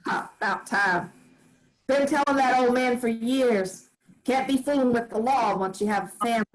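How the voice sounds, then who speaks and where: A woman's voice: fairly high pitch, normal speed, natural voice, poor audio. A woman playing a folksy old grandmother who tells family stories in a drawling, homespun way. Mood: fond. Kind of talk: staged play reading.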